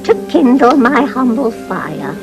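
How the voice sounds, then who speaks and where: An actress playing a kindly, mysterious old woman in a fairy-tale pantomime, gentle and portentous. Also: a little high, big pitch swings, slow pace, over music.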